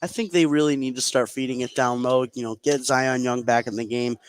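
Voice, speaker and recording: A man's voice: medium pitch, fairly even intonation, fairly quick, clean tone. A man working as a color commentator, explaining a live basketball game in a conversational way.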